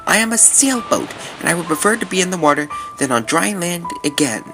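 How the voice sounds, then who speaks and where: A woman's voice: on the low side, very animated, fairly quick, music in the background. A woman playing an earnest, apologetic and anxious young sailboat in an amateur audio drama. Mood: worried. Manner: hesitant, pleading.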